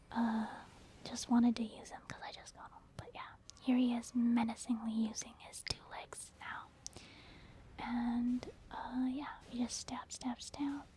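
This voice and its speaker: A woman whispering soft-spoken ASMR commentary over a video game as the host. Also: fairly high pitch, level tone, measured pace.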